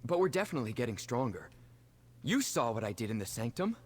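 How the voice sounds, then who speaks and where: A man's voice: medium pitch, big pitch swings, fast talker, breathy edge. A man voicing a worried, hesitant young brother with magic powers in a video game. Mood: hesitant, resolute. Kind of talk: video game character dialogue.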